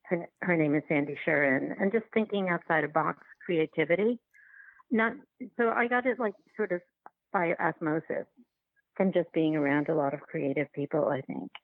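A middle-aged woman from New York City giving casual interview answers, reflective and halting as she thinks aloud. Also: low-pitched, lively intonation, creaky, dry recording. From New York City, United States. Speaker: interview guest, documentary filmmaker. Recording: tinny phone-like line, dry.

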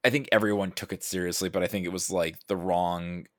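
A man with a low-pitched voice talks casually about film on a podcast, recorded close and dry. He works through his thoughts aloud, often halting and rephrasing as he goes. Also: steady tone, a bit bright, no echo. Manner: halting, self-correcting, thinking aloud. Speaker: podcast guest or co-host discussing a film.

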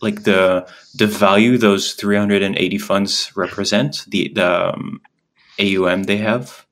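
A male podcast host with a slightly low voice opens the show and asks questions in a relaxed, enthusiastic way. He speaks casually, with an occasional filler or swear. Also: a little slow. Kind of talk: casual interview talk.

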